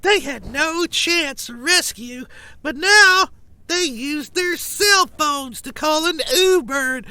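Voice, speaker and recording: An actor playing a whiny chainsaw-wielding horror killer in a comedy sketch, complaining in an aggrieved manner. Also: male voice, extremely high pitch, animated, slow, bright, forceful tone, dry recording, exaggerated voice.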